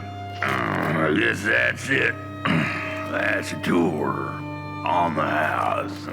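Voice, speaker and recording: An actor voicing a crippled, half-dead old coal miner in a video game, a wry guide with a gravelly, folksy, rambling drawl. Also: male voice, low-pitched, full character voice. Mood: wry, resigned. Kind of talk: character acting in a video game.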